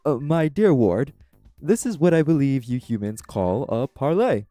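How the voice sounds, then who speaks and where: Man playing a mellow, formal guardian angel. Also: medium pitch, animated, soft background music, lightly acted. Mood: diplomatic. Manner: formal, courteous, measured explaining. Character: polite, earnest male guardian angel, formal and diplomatic. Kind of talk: audio roleplay acting.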